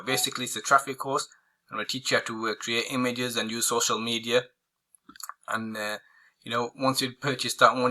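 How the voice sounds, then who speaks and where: A man walking viewers through a software tutorial, hoarse and losing his voice, rambling with many fillers and restarts. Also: medium pitch. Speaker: online marketing tutor. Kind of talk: casual screen-recorded tutorial.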